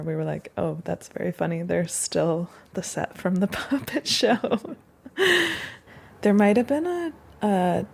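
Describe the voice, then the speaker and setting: Gravelly, lowish-voiced woman recounting. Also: darkish tone. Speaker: interviewee, house resident. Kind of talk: casual anecdotal interview talk.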